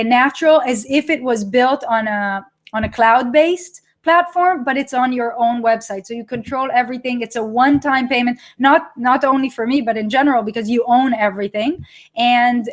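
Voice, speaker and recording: A woman with an Israeli accent hosting a live webinar, chatting with viewers as she presents her product, with frequent restarts. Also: a little high. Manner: chatty, reads live chat and reacts to viewers.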